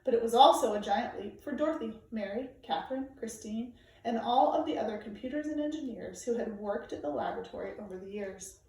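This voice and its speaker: A woman reading a children's nonfiction book aloud in a measured, emphatic way, phrasing it for young listeners. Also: a little high, lively intonation, a little mellow. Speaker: storybook reader. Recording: very echoey room, distant microphone.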